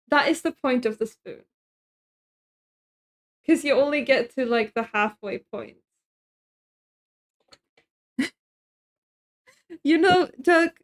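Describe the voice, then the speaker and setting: A young woman from Sweden, chatting casually with her stream chat while cooking in her kitchen, laughing often and answering messages. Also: on the high side.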